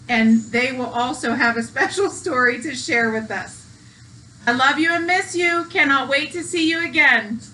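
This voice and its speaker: A woman's voice: high voice, pushed and bright, far from the mic, slight background noise, low-quality recording. A woman reading a picture book aloud to young children, speaking simply and affectionately, as a school educator does.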